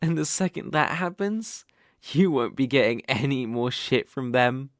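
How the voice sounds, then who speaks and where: A man's voice: mid-range voice, lively intonation, no echo, clean studio sound, natural voice. A British man acting a dominant, teasing, possessive young bully in love in an intimate ASMR roleplay. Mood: possessive, assured. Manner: slow, teasing, commanding.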